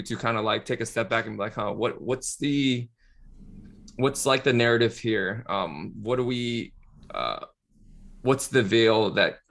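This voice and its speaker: A man's voice: fairly low pitch, fairly bright tone. A man co-hosting a podcast in casual, reflective talk, tentatively thinking aloud and posing questions. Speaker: podcast co-host.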